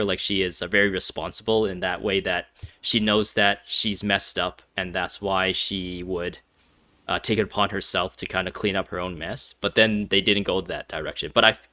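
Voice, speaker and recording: A man's voice: sits low, bright, forceful tone. A man giving casual, rambling and joking commentary as he plays through a video game. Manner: rambling, joking, teasing the story. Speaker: gaming video host.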